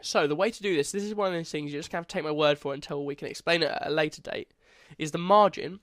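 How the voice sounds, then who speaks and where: A man's voice: on the high side, fast talker, fairly bright tone, dry recording, clean studio sound. Congested young man teaching code. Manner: casual, chatty, self-correcting. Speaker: coding tutor. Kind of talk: screen-recorded coding tutorial.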